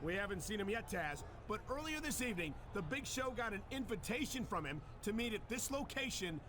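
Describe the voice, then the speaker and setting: An actor playing an excitable wrestling announcer calling a televised show in a video game. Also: male voice, high voice, fairly quick, background noise. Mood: upbeat. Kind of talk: TV sports commentary.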